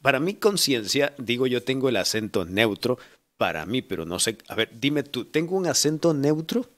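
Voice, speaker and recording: A man in his thirties from Manabí, Ecuador, with an Ecuadorian accent, hosting a casual interview podcast in a relaxed, chatty way. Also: medium pitch, normal speed. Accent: Ecuadorian Spanish from Manabí. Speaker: podcast host. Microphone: handheld microphone.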